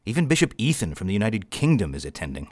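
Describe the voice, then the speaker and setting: A grave, learned bishop in an audio drama. His voice sits fairly low and is recorded dry. He explains things in measured, formal phrases with a weary, dismissive edge. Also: very animated, darkish tone, no echo. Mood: matter-of-fact.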